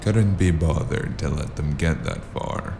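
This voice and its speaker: A man acts out a weary noir investigator with a rock-bottom, creaky voice. He is sardonic and deadpan, brooding through his audio drama narration over clearly audible background noise. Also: very deep voice, a little slow, full character voice. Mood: irritated, cool. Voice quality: gravelly.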